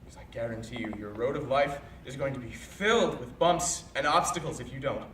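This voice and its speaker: A young man on stage playing a bitter, reproachful college student who confronts a former friend in an accusatory monologue with deliberate pauses. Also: mid-range voice, fairly bright tone, natural voice. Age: young adult. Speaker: stage actor. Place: indoor performance space, picked up from far off. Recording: roomy, distant live stage recording, faint noise.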